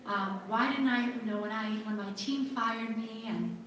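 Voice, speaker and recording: A woman from Cape Cod asks a question from the audience, energetic and chatty, with a bright, forceful tone. Her voice sits a little high. It comes from afar in a very echoey hall. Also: normal speed, a little hiss or hum. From Cape Cod, United States. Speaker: real estate agent asking a question. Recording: echoey hall, far from the mic.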